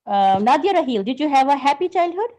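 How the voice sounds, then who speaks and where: A woman's voice: high voice, big pitch swings, quick pace, warm tone, rough recording. Patient woman teaching online. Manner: patient, corrects grammar, calls students "beta". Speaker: English teacher. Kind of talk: online class. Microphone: video call.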